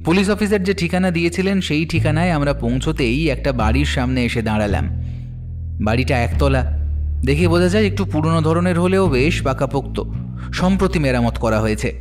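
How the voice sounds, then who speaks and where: A man's voice: a little high, lively intonation, fairly quick. A man narrating a detective story in the first person, in a scripted audio drama style. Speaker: narrator, telling it as the detective's companion. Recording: long reverb, faint music underneath.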